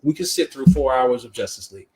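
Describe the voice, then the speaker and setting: A man's voice: medium pitch, animated, fast talker, darkish tone. A man chatting casually and informally as a podcast co-host, giving his opinions and repeating phrases for emphasis. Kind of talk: casual live podcast chat. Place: live podcast.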